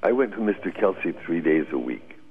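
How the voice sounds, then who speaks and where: A man's voice: low-pitched, sing-song, brisk, breathy edge, soft background music. An older man, a radio guest telling rambling stories with frequent laughs, heard over a phone line. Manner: rambling, anecdotal, laughs often. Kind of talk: radio interview storytelling.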